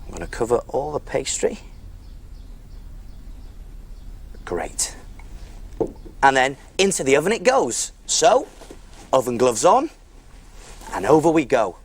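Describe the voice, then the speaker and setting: Friendly children's TV cook, lively varied intonation, encouraging, simple instructional phrasing. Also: male voice, medium pitch, unhurried, long pauses, a little hiss or hum. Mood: playful, instructive. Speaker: children's cookery presenter. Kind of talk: preschool cooking demonstration.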